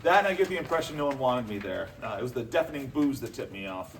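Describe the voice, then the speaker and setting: Man playing an animated, awkward outsider boyfriend. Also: mid-range voice, quick pace, a little hiss or hum. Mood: wry. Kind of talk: comedy table read in front of an audience. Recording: distant mics, audience in echoey room.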